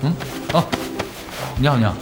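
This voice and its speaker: A man's voice: medium pitch, animated, moderate pace, music in the background, lightly acted. An actor playing a nonchalant, teasing young male gamer in an audio drama, casual and sly. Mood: bemused. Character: laid-back, cheeky young male gamer, sly and unbothered. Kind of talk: Chinese audio drama acting.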